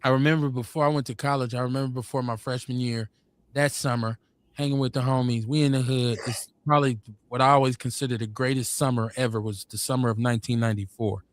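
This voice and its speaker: A man in his forties telling stories in casual chat, rambling and laid-back, getting animated when quoting others. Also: medium pitch, fast talker, no echo. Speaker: podcast host.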